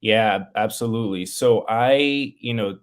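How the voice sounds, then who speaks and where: New york guy in his 30s, a doctor, kinda low voice, friendly, keeps restarting his sentences. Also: unhurried. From New York City. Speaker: infectious disease physician, guest. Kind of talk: casual interview.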